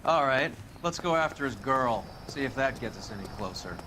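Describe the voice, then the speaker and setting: An actor playing a gruff, cynical police detective of the 1940s in a crime game, dry and sardonic. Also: male voice, mid-range voice, lively intonation, normal speed, noisy background, lightly acted. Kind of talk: video game acting, period crime drama. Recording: clear music behind the voice.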